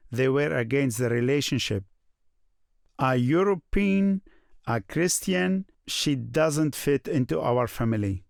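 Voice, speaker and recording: An actor playing a Syrian man looking back on his student years, narrating in a measured, reflective way. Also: medium pitch, vocal fry, darkish tone, no echo, clean studio sound. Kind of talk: scripted first-person documentary narration.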